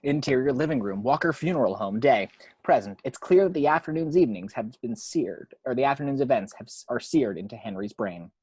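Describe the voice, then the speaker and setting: A man's voice: mid-range voice, expressive, fairly quick, darkish tone, slightly rough, no echo. A man narrating screenplay action lines at an amateur table read in a matter-of-fact storytelling way.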